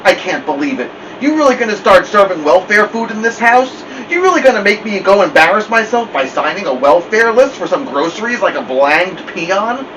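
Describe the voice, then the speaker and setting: A man's voice: high voice, very animated, moderate pace, few pauses, pitched above the performer's own voice. A man voicing a cocky, indignant teenage big brother in a storybook read-aloud, slangy, whiny and bossy. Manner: whiny, slangy protesting and bossing. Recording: muffled lo-fi, distant mic, loud hiss.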